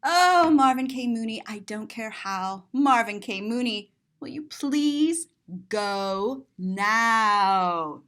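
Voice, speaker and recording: A woman reading a picture book aloud to children in an expressive, sing-song way, drawing out words and describing the pictures. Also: fairly high pitch, takes it slowly. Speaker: children's story reader and teacher.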